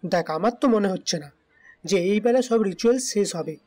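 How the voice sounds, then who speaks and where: An actor as a cheeky younger male cousin in an audio drama. His voice is very high for a man. He talks like a family tease. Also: normal speed, darkish tone, lightly acted. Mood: teasing. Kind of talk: audio story acting.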